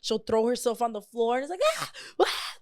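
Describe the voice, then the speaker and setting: A woman's voice: fairly high pitch, steady tone, a little fast. A woman, chatting casually as a podcast co-host, candid and slangy, slipping into Spanish and swearing freely. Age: late 20s. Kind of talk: casual sibling podcast chat.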